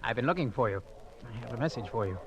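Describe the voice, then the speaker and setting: A man's voice: mid-range voice, lively intonation, fast talker, natural voice. An actor playing a polite, eager young hotel errand boy in a vintage radio drama. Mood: eager, deferential. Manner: polite, obliging. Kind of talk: 1940s-50s radio drama acting. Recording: muffled vintage radio recording.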